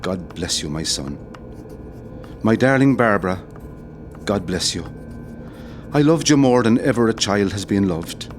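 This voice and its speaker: An actor with an Irish accent playing a grave, tender condemned rebel commandant in a solemn audio drama. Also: male voice, on the low side, lively intonation, a little slow, pauses often, faint music behind. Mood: tender, sorrowful.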